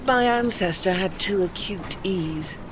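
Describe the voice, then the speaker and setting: A woman's voice: medium pitch, measured pace, noisy background, close to an everyday voice. An actress playing a haughty, dry-witted old lady ship with an arch, formal manner in a period audio drama. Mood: arch, wry.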